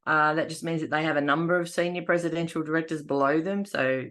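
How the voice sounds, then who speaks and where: A woman's voice: deep voice, fairly even intonation, fast, fairly bright tone. A middle-aged woman with an Australian accent giving an informal team update in a loose, rambling way with many restarts.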